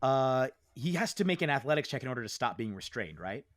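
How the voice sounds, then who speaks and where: A man running a tabletop game, improvising vivid narration in an excitable, conversational manner. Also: medium pitch, fairly quick, dry recording. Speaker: game master.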